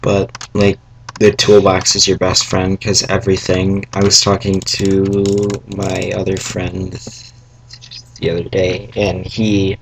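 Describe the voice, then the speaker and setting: Young man, slightly raspy, low and monotone, slow rambling tutorial delivery; faint room noise and clicks. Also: poor audio. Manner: rambling, halting, with fillers and asides. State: slightly raspy from salty food. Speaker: tutorial maker. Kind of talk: casual screen-recorded coding tutorial. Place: home room, family noise around. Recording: faint background noise, keyboard and mouse clicks.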